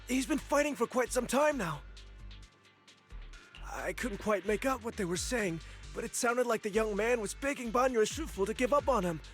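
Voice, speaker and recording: An actor voicing an anxious, worried male helper in a game, urgent and earnest. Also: extremely high pitch. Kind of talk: dramatic game voice acting.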